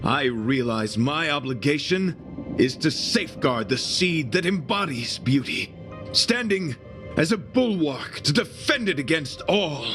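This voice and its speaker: An actor playing a noble, devoted knight in a video game, speaking with formal, florid, solemn chivalry. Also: male voice, a little high, expressive, measured pace. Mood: solemn devotion. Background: prominent music.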